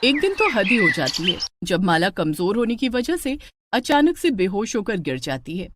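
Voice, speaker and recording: A woman's voice: medium pitch, very animated, normal speed, fairly bright tone, no room sound, studio quality. A woman narrating a scripted family story in a dramatic storytelling style.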